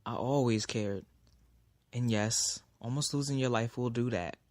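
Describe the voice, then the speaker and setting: An actor playing a young man in love in an audio drama, speaking earnestly and in a measured way. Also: mid-range voice, no room sound, natural voice. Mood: serious.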